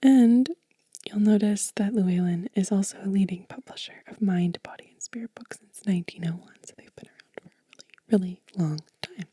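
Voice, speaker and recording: A soft-spoken woman doing an ASMR show and tell, whispering gently and without hurry close to the microphone. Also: medium pitch, dry recording. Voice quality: breathy, whispery, hushed. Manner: gentle, unhurried. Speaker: ASMR creator and host.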